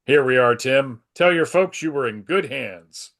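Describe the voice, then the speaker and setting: Man as heroic comic book superhero, polite, earnest, composed, medium pitch. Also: animated, measured pace, a bit bright. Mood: kindly. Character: heroic, polite, confident adult male superhero. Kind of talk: dramatic comic book table read.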